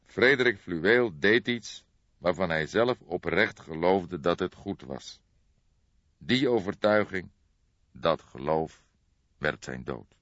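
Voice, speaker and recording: A man voicing a weary, reflective old detective who speaks in a deliberate, solemn way in an audiobook. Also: low-pitched, lively intonation, a bit bright, full character voice. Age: older. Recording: boxy, echoey, dull recording.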